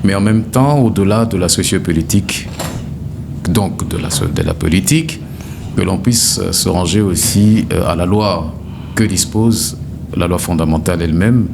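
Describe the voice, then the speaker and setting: A middle-aged man with a very low, gravelly voice answers an interviewer's questions in a formal, measured way, often stopping to hesitate. The recording has a clear layer of background noise. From DR Congo, Kasai. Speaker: gubernatorial candidate.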